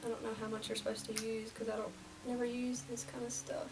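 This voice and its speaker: A woman's voice: on the high side, level tone, quick pace, far from the mic, music in the background. Chatty young woman, slightly nasal. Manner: casual and rambling, drops to near-whispers while applying makeup. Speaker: beauty vlogger. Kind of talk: chatty get-ready-with-me vlog. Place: small indoor room before a plain backdrop.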